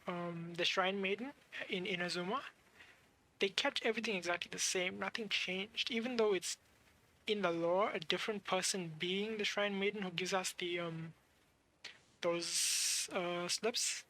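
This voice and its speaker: A woman's voice: mid-range voice, fairly bright tone, low-quality recording. A young woman giving casual game commentary as a streamer, musing aloud and searching for words as she goes. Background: game music.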